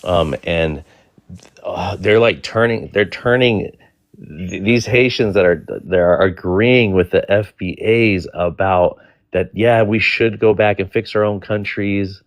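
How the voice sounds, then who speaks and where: A man's voice: low-pitched, slow pace, no room sound. A man hosting a casual live-stream panel, rambling and exasperated, with frequent restarts. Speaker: panel host.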